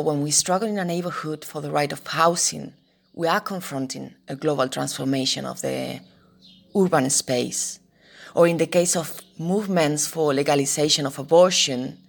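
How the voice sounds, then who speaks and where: Very low, creaky woman with Spanish accent. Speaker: activist interviewee. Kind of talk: reflective interview talk.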